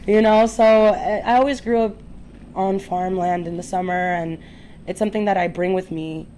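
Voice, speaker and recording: A woman's voice: medium pitch, steady tone, normal speed, warm tone, slight background noise. A young woman born in the Dominican Republic, a farm co-founder, telling stories from her childhood in casual podcast talk.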